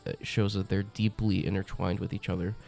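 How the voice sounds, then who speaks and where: A young man with a cold, his voice very low, gravelly and stuffed up as he hosts a comic review channel. He talks in a chatty, enthusiastic way, full of ums and uhs, his tone dark and mellow, with faint music underneath. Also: little pitch movement, fairly quick. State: congested from a cold. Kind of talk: casual review monologue.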